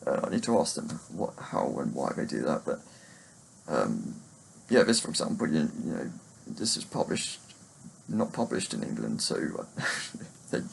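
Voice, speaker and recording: A man giving a casual, unscripted book chat to camera in a hesitant, halting, rambling way. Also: very deep voice, big pitch swings, creaky, slight background noise, rough recording. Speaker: book reviewer vlogger.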